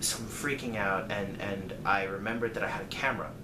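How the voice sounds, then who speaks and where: Low-voiced man telling stories, echoey room. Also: fairly even intonation, brisk. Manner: rambling, conversational, dramatizes moments with emphasis. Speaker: storyteller vlogger. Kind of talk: casual personal storytelling. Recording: echoey room, clear background noise.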